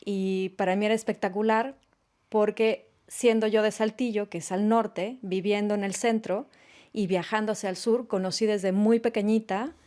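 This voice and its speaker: A woman's voice: medium pitch, steady tone, normal speed. A woman in her late forties with a Mexican accent, a fashion designer answering interview questions in a radio studio and telling stories in a conversational way. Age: late 40s. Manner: warm storytelling, frequent tag questions.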